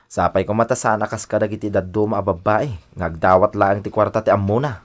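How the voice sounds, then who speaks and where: A man's voice: lowish voice, steady tone, fairly quick, natural voice. A man playing a teasing, skeptical best buddy with exaggerated intonation in an Ilocano radio drama.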